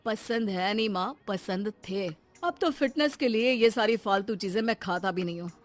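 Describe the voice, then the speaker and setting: एक महिला हिंदी कहानी में बेसब्र, रूखे जवान बेटे का किरदार निभा रही है, झिड़कने और टालने वाले लहजे में।. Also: female voice, medium pitch, moderate pace, soft background music, pitched below the performer's own voice, close to an everyday voice. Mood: dismissive. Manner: curt, scolding, exasperated. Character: grown son, impatient, dismissive, cold toward his mother. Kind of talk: Hindi moral story dramatisation.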